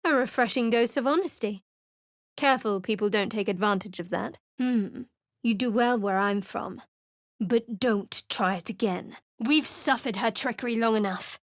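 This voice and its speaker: Actress doing a sharp-tongued guarded adventurer, dry and sardonic, game voice lines, fairly high voice, dead dry studio sound. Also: female voice, normal speed, dry recording, lightly acted. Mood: cool. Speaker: companion character.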